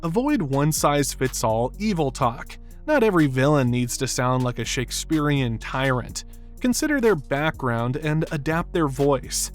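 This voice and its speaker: A man's voice: medium pitch, sing-song, normal speed. A man delivering scripted narration for an analytical video essay. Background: background music.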